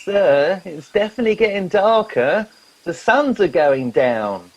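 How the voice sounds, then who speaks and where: A man's voice: high voice, big pitch swings, unhurried, heavily acted. A man playing an eager, muddled junior crewman in a comic radio play, on a muffled recording. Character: eager, dim junior crew member.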